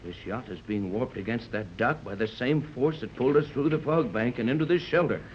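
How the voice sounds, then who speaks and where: An actor playing a steady, fatherly older yacht captain in a 1930s radio serial, measured and authoritative as he gives orders. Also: medium pitch, close to an everyday voice. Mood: calm, commanding. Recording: muffled, noisy 1930s radio recording.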